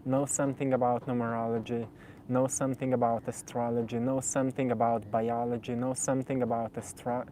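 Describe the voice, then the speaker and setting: A man in his twenties whose first language is Slovenian, with a warm, mellow, relaxed voice at a middle pitch. He talks gently and searchingly straight to his viewers. He circles back over his own phrases as he builds each thought. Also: brisk, a little hiss or hum, rough recording. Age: 20s. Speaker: spiritual video host. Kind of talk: reflective spoken monologue.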